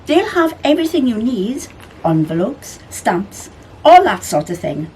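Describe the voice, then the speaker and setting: A bossy female storybook animal, played by a woman, brisk and emphatic. Her delivery is lively and swooping, with faint noise behind it. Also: on the high side, rough recording.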